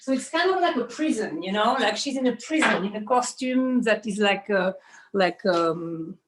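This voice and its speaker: A woman's voice: mid-range voice, lively intonation, moderate pace, noisy background. A woman from Switzerland gives an informal explanatory studio tour as an artist and costume designer, often searching for words and adding "you know".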